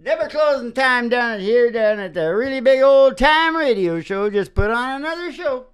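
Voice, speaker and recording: A woman's voice: on the high side, animated, moderate pace, smooth. A woman hosting and singing on a country music show, with a playful, loose manner and a guitar alongside. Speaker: radio show host and singer.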